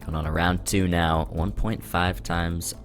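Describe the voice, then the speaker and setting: A man giving live play-by-play commentary on a competitive game, voice jumping up with excitement at big moments. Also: low voice, a little slow. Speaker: esports commentator. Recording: music under the voice.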